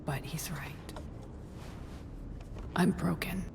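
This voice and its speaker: A woman's voice: low voice, steady tone, takes it slowly, gravelly, darkish tone, in a large hall, slight background noise, full character voice. A middle-aged woman playing a weary, guilt-ridden estranged mother, somber, terse and stubborn, in a dramatic video game cutscene. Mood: bitter, resigned.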